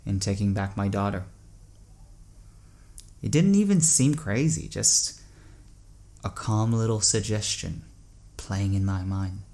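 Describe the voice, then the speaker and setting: A troubled man in an audio drama, confessing dark thoughts. He speaks slowly and haltingly, with a low voice full of gravelly fry. He sounds brooding and haunted. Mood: haunted, confessional.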